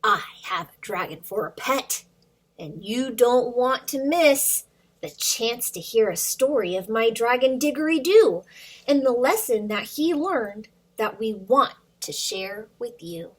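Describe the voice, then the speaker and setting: Slow-paced, higher-voiced woman reading to children. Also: expressive, slightly rough, low-quality recording. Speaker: children's story time reader and teacher. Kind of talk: informal talk and storybook read-aloud for children.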